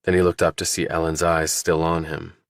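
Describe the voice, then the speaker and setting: A man's voice: low voice, monotone, a little fast, dry recording, clean studio sound. A man reading a scripted audiobook narration.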